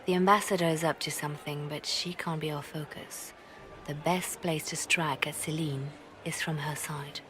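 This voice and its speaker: An actress playing a calm, businesslike female spymaster in a video game cutscene. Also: low-pitched, normal speed, noisy background, close to an everyday voice. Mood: brisk. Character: cool scheming female spymaster. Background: music.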